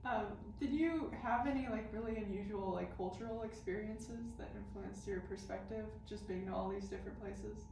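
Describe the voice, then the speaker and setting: A woman's voice: mid-range voice, moderate pace, vocal fry, few pauses. A woman interviewing, asking questions hesitantly with short encouraging reactions. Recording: echoey room, distant mic, noisy.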